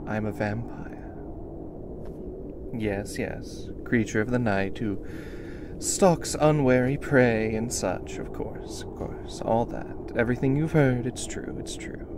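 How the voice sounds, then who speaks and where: A man acts out a theatrical male vampire in an ASMR roleplay, speaking slowly over very noisy background ambience. He is aloof and teasing, stretching his phrases and often sinking to a breathy near-whisper. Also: medium pitch, lightly acted. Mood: haughty, indignant. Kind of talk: ASMR roleplay, slow scripted monologue.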